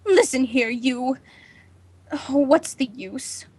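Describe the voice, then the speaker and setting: An actress playing a nervous, imperious housewife on edge, in a melodramatic 1940s radio drama style. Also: female voice, high voice, animated, slow pace, a little mellow, breathy edge, heavily acted. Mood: haughty, outraged. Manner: haughty, melodramatic, agitated. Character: nervous, imperious, panicking housewife alone at night.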